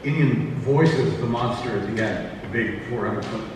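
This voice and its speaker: A man chatting casually and in an animated, rambling way as a panelist at a convention. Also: mid-range voice, normal speed. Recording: echoey hall, distant mic, noisy.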